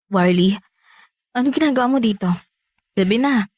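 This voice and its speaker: High-pitched, defensive young Filipina girlfriend acted by a woman. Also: normal speed, darkish tone, dry recording, studio quality, natural voice. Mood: hushed, wounded. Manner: emotional, protesting, conversational. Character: young Filipina girlfriend and secret mistress, defensive, exasperated, hurt. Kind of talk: Filipino radio drama acting.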